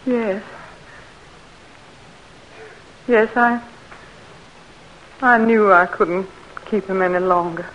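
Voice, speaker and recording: An actress playing a proud, fierce young Southern plantation woman in a 1940s radio drama, speaking in an emphatic, high-strung way. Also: slow, highs cut off, background noise, lightly acted. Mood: anxious.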